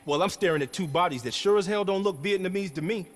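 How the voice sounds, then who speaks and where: An actor playing a blunt, sardonic, foul-mouthed American special operations soldier in a military game. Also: male voice, high-pitched, fast, a little hiss or hum, close to an everyday voice. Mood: wry, businesslike. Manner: blunt, incredulous, profane. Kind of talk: cinematic military game acting.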